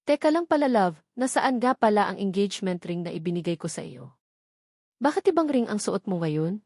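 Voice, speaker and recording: A woman's voice: mid-range voice, big pitch swings, normal speed, a little mellow, dry recording. A woman reads as a young man in a romance story, playful and earnest with the one he loves. The sound is dry, with very little room around it. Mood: tender, insistent.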